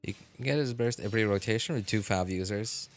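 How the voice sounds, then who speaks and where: Lowish-voiced male game streamer chatting. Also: fast talker, soft background music. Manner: relaxed, chatty, reads and answers chat; frequent fillers and restarts, laughs easily. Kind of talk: casual live-stream chat with viewers.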